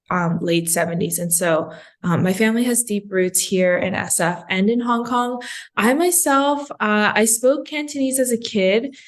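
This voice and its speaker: A woman from San Francisco with an American accent speaks as a podcast guest. Her voice is medium in pitch, and she tells personal stories conversationally. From San Francisco, United States. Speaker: podcast guest, health educator.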